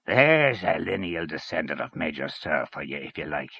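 A man's voice: very deep voice, sing-song, moderate pace, creaky, bright, forceful tone, breathy edge, exaggerated voice. A man voicing a blustering, scornful middle-aged man who grumbles and gossips. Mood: grumbling.

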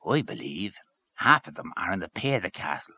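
A man's voice: low-pitched, very animated, fairly quick, creaky, sharp tone, slightly rough, exaggerated voice. A man voicing a blustering, scornful middle-aged man who grumbles and gossips. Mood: grumbling.

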